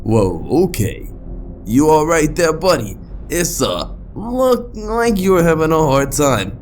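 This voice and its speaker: A man's voice: fairly high pitch, very animated, takes it slowly, a little hiss or hum, voice pushed up. A man voicing a laid-back, wisecracking but uneasy skeleton in a comic dub, mumbling hesitantly with dry humor. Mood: awkward concern.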